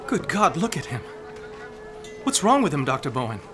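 A man's voice: on the high side, big pitch swings, normal speed, music in the background. A man playing a frightened, naive young townsman in a period radio drama, with a strained, urgent, stammering delivery. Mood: bewildered.

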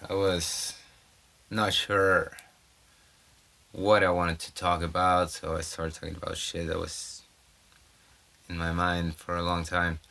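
Brazilian male podcast host, low voice, bright edge, loose halting monologue on a phone mic. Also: vocal fry. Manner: rambling, halting, with many fillers and restarts. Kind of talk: casual solo podcast monologue. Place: off-grid home in the mountains. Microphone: cell phone.

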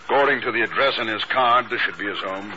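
An actor playing a proper, puzzled intelligence colleague with a polite manner, in 1950s radio drama style. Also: male voice, on the low side, quick pace, natural voice. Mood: dry, admiring. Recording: muffled, very noisy old radio recording.

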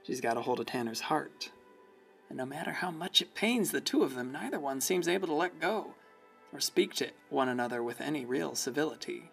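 A man's voice: a little high, expressive, a little fast, gravelly, fairly bright tone, exaggerated voice. A man playing a wry, folksy small-town storyteller, narrating a radio play. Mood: wry, sympathetic. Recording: music behind the voice.

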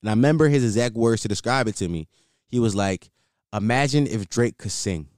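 A man's voice: on the low side, lively intonation, a little fast, a little mellow, no room sound, studio quality. A young man rambling casually as a solo podcast host, repeating himself and filling with "like".

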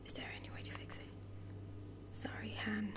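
A woman playing a caring space lieutenant in a whispered ASMR roleplay, breathy, hesitant and soft-spoken. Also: normal speed, lightly acted. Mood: quietly worried. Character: caring, reassuring female space lieutenant tending a wounded soldier.

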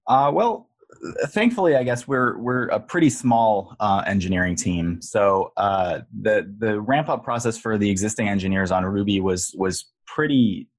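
Man, very low voice, dry close sound, halting with many fillers, guest on a podcast. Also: lively intonation, no room sound. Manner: halting, lots of filler words. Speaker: guest software engineer. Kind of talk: casual podcast interview.

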